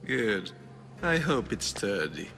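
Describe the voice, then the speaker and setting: Low-voiced, calculating patron, reverberant. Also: male voice, sing-song, slow pace, creaky, bright, forceful tone, heavily acted. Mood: coolly amused. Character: smooth-talking, calculating rich male patron hiring mercenaries. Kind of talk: video game cutscene acting. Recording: long reverb, background music.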